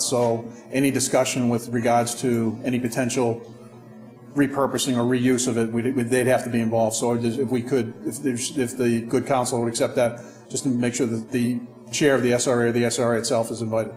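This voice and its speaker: A man's voice: mid-range voice, little pitch movement, fairly quick, darkish tone, soft background music. A man city councilor politely proposing an amendment in a council meeting. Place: council chamber.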